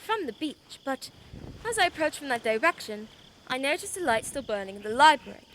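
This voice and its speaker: A woman's voice: high-pitched, sing-song, fairly quick, fairly bright tone, slight background noise. A woman playing a confident, shrewd detective in a radio play, speaking in a formal, precise, theatrical way. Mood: earnest, precise. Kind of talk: stage-style radio play acting.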